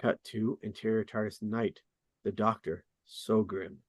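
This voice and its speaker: A man reading screenplay stage directions as scripted narration, with deliberate dramatic emphasis. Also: on the low side, steady tone, breathy edge, dry recording. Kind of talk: scripted narration at a table read.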